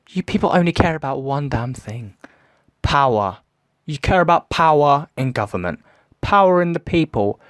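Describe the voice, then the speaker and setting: A man's voice: medium pitch, no room sound, natural voice. A man playing a menacing, grandiose villain in an audio drama, gloating and taunting with theatrical cruelty. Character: menacing theatrical male villain lurking in the shadows, gloating sadist.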